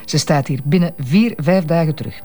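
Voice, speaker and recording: An actress playing a detective's teasing, self-assured wife in a radio drama, with playful, colloquial Flemish speech. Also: female voice, low voice, normal speed, mellow, lightly acted. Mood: firm, reassuring. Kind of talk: naturalistic radio drama dialogue.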